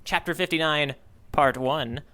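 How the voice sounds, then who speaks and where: A man's voice: fairly high pitch, a little slow, pushed and bright. A man hosting a podcast in casual, rambling, jokey chat, often correcting himself as he goes. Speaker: podcast host. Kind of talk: casual podcast chat, reading listener letters.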